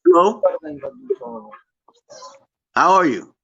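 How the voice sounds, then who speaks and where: A man's voice: high voice, sing-song, drawn-out words, bright, forceful tone, breathy edge, lo-fi sound. A man in his forties from Brooklyn, hosting a casual livestream and rambling from topic to topic. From Brooklyn, New York, USA. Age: 40s. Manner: rambling, loses his train of thought. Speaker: livestream host.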